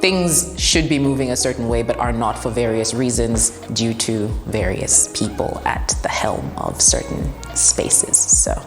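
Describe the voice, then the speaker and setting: A young woman with a very deep, commanding voice speaks frankly, laughing between answers. The room is echoey, with a long reverb tail and faint music behind her. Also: measured pace, hardly any pauses. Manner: blunt, laughs often. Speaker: broadcast journalist and TV presenter. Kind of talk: interview answers, relaxed and frank.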